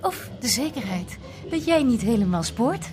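An actress playing a sceptical, dry-witted historian in a naturalistic radio drama, teasing and sardonic. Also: female voice, fairly high pitch, sing-song, moderate pace, over music.

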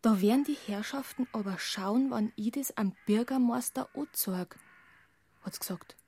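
A woman's voice: on the high side, measured pace, dark tone, slightly rough. A female performer playing a shy, naive village girl in a radio crime drama, with a Bavarian accent and hesitant, childlike delivery. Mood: timid, secretive.

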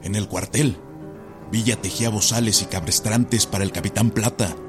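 An actor playing an unhurried village storyteller. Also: male voice, fairly low pitch, steady tone, over loud music, natural voice. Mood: amused storytelling.

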